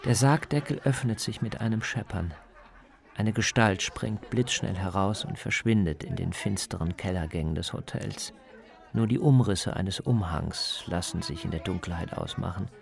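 A man narrates a radio documentary in the first person. His voice sits fairly low with a creaky, gravelly edge. He tells the story in a measured, descriptive way, as a scripted piece. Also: some chatter behind. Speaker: radio feature author and narrator.

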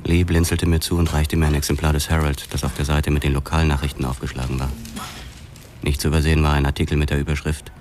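A man's voice: a bass voice, flat delivery, quick pace, a little mellow, a little hiss or hum. An actor playing a world-weary police detective who narrates his story in a hardboiled crime radio drama. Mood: dry, sardonic.

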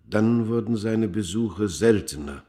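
A proud man of ancient Egypt recounting his life in a radio drama. His voice is fairly low, with a solemn, measured delivery and dramatic, literary phrasing. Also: lively intonation, warm tone. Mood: solemn, haunted. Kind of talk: literary first-person narration in a radio play.

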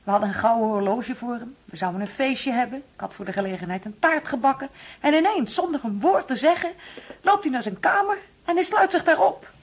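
Husky, emotional grieving mother. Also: a little high, expressive, a little fast. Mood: sorrowful. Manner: pleading, emotional. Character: grieving, wounded middle-aged mother of a runaway son. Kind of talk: Dutch radio play acting.

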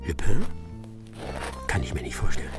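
An actor playing a brusque, sharp-witted English master detective in a radio play, muttering to himself and testy with his assistant. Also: extremely low pitch, very animated, normal speed, loud music behind the voice. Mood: hushed, wary.

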